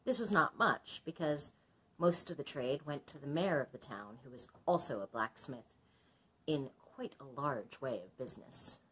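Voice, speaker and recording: A woman's voice: very deep voice, normal speed, a bit bright, a little hiss or hum. A woman librarian reading a children's story aloud expressively, in an instructive manner suited to young listeners. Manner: instructive, explains old words to young listeners. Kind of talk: expressive storybook narration with explanatory asides.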